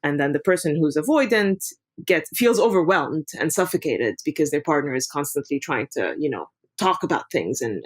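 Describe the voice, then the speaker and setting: A woman from Lebanon, a relationship therapist, talking through couples' patterns in a casual podcast interview. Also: low voice. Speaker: guest therapist.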